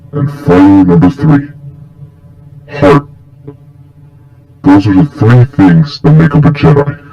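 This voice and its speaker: A man playing a reformed fallen warrior, a tough Jedi trainer with a deliberate, challenging manner, in an amateur fan skit. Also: medium pitch, warm tone, dull audio. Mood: solemn, instructive. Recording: music playing under the voice.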